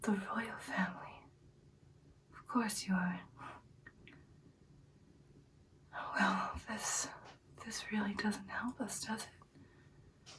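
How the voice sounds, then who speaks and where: A woman playing a devoted, hesitant vampire girlfriend in an ASMR roleplay, speaking in a soft, breathy, halting whisper. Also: mid-range voice, fairly even intonation, far from the mic, natural voice, rough recording. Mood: wry, doubtful. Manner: soft, halting, deliberate, intimate. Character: devoted, hesitant female vampire girlfriend plotting with her werewolf lover.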